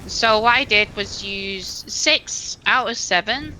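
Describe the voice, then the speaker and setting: A woman's voice: medium pitch, slow, pushed and bright, a little hiss or hum, low-quality recording. A woman streamer chatting casually while gaming, rambling with many fillers and restarts into a desk microphone.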